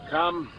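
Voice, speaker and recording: An actor playing a wry, cautioning young gentleman with measured, theatrical 1950s radio delivery. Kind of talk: 1950s radio Shakespeare acting. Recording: distant, noisy old radio recording.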